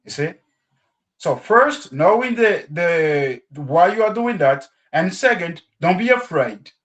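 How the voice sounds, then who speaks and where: A man's voice: high-pitched, slow pace, muffled recording. A man from Congo, speaking English as a second language, talking casually with a class about learning languages. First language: not English. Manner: animated, expressive, laughs often. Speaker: guest.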